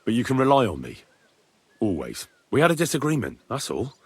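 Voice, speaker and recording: An actor playing a loyal young blacksmith turned page in a medieval video game, earnest and plain-spoken. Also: male voice, mid-range voice, wildly swooping pitch, brisk, slightly rough, no room sound, exaggerated voice. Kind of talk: video game cutscene acting.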